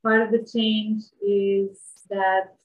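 A woman's voice: mid-range voice, level tone, takes it slowly, phone-quality sound. Echoey, thoughtful woman from Bosnia. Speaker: interview guest. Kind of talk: reflective casual interview talk. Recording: echoey room sound.

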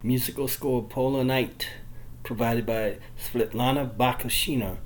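A man's voice: mid-range voice, fairly even intonation, measured pace. A man narrating an audio drama from a script. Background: music.

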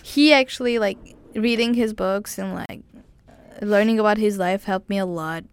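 A woman's voice: mid-range voice, normal speed, clean tone. A young woman from Turkey with a Turkish accent, chatting casually in a second language, searching for words and leaning on "like" fillers. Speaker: conversation partner. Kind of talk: casual chat.

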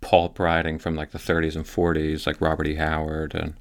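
Deep-voiced male author guest; relaxed, thoughtful, restarts often. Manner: thoughtful, halting, many restarts. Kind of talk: casual podcast conversation.

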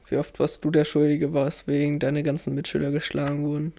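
Curious male interviewer with a gravelly, somewhat high voice, casual tone. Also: completely flat delivery, brisk, mellow. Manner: curious, asks follow-up questions. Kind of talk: casual conversation.

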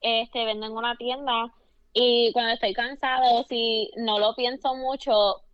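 A woman co-hosting a podcast, lively and switching freely between Spanish and English. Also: fairly high pitch, a little slow, clean tone, no echo, lo-fi sound. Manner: lively, code-switching, frequent backchannels. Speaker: podcast co-host. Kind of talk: casual podcast chat.

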